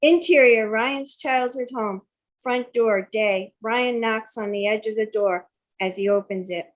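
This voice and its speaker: A woman's voice: on the high side, unhurried. An older woman reading screen directions aloud for a live script table read.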